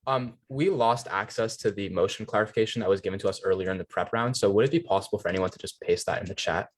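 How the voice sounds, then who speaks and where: Fast-talking low-voiced young debater. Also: male voice. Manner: quick and polite, with small restarts. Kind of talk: casual requests to organizers.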